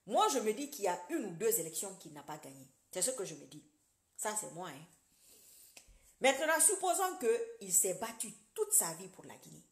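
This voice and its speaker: A woman lectures her online audience in a preachy, animated way, with loud emphatic bursts and quiet muttered asides. Her voice sits in a middle pitch. The sound is roomy and echoey, recorded on a phone or webcam. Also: moderate pace. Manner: animated, preachy, addresses viewers directly. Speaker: social media commentator. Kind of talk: casual political monologue to followers.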